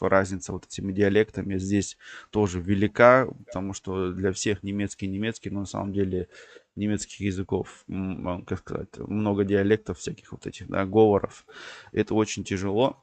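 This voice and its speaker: A man's voice: fairly low pitch, a little mellow, dry recording. A man hosting a livestream, explaining things in a rambling way full of fillers. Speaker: stream host. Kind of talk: livestream chat.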